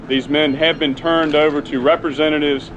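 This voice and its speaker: Formal higher-voiced man, noisy outdoor recording. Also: steady tone, normal speed. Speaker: police spokesman. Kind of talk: formal press statement. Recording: noisy outdoor archive press conference audio.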